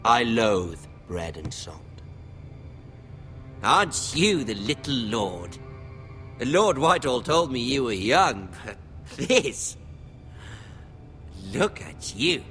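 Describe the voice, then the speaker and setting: An actor playing a sadistic young bastard lord in a fantasy game, with a mocking, theatrical, toying manner. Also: male voice, medium pitch, sing-song, takes it slowly, pauses often, a bit bright, soft background music.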